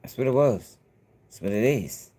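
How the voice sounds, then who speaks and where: A man's voice: medium pitch, expressive, normal speed, mellow. A man hosting casual reaction commentary, talking bluntly and with profanity straight to his viewers. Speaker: commentary channel host.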